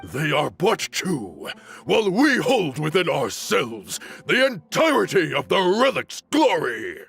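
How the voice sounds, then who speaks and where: An actor playing a proud, desperate desert warrior leader in a video game, speaking in a grandiose, declamatory, zealous way. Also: male voice, a little high, wildly swooping pitch, measured pace, vocal fry, a bit bright, soft background music, heavily acted. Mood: rousing, defiant. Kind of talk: dramatic video game voice acting.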